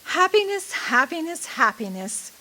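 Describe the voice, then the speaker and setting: Woman playing high-voiced, wistful young sweetheart. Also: very animated, measured pace, pushed and bright, full character voice, low-quality recording. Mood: blissful. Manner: wistful, sentimental delivery. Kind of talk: radio drama acting.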